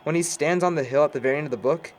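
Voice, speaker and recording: A man's voice: fairly high pitch, racing, a little hiss or hum, close to an everyday voice. A man acting a bookish, dry-witted young man in an amateur audio drama, talking in a formal, wordy way. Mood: reflective. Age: young adult.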